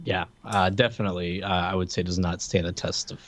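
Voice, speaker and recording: A man co-hosting a podcast, chatting casually and haltingly in a movie review style. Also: deep voice, fairly even intonation. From Florida, United States. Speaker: podcast co-host.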